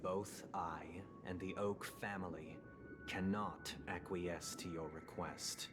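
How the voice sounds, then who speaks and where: An actor playing a dignified, composed festival leader in a video game, speaking formally and with measured stateliness. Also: male voice, low voice, steady tone. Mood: firm, grave. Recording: game music behind the voice.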